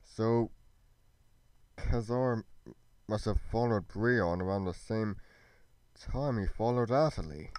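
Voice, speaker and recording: A man playing an earnest adventurer in a fantasy roleplay drama, speaking in deliberate, halting, storybook phrases. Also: on the low side, a little mellow, no echo. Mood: grave, explanatory. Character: earnest male adventurer musing over royal secrets. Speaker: voice actor in a fan-made Minecraft roleplay. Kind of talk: scripted dramatic roleplay dialogue.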